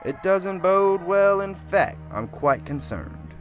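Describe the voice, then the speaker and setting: A man playing a soft-spoken, pious small-town reverend who rambles earnestly, in an amateur radio drama, with faint music behind. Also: high voice, lively intonation, slow pace. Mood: solemn. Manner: gentle, pious and wordy.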